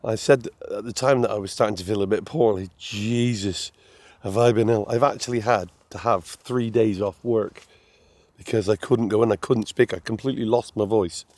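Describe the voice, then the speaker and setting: An English man vlogging outdoors at a fishing lake, chatty and friendly, his voice still slightly hoarse as it recovers. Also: on the low side, steady tone, normal speed, darkish tone, dry recording. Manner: chatty, friendly, laughs easily. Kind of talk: casual outdoor chat.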